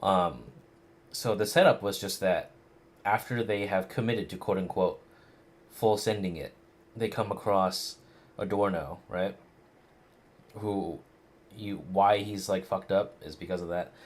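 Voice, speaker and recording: A man's voice: low-pitched, a little slow, pauses often. A man giving casual live playthrough commentary, rambling and hesitant, with plenty of slang. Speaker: game streamer.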